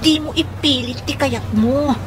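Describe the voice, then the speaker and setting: A woman's voice: sits high, unhurried, background noise. An actress playing a reluctant, irritable young working woman in an Ilocano radio comedy drama, complaining expressively. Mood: annoyed. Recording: music behind the dialogue.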